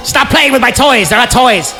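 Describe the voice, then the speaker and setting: Guy joking around on a podcast, gravelly highish voice that swings about a lot, music behind. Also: animated, normal speed, bright, forceful tone. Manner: riffs in mock-serious bits, repeats phrases. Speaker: podcast guest. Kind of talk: casual comic banter.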